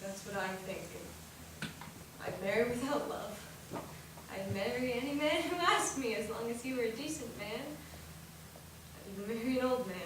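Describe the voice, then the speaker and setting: An actress playing a calm, dutiful elder sister who speaks softly and consolingly on stage. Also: female voice, extremely high pitch. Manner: soft, hushed, consoling. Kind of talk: stage acting. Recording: echoey stage from far off, faint noise.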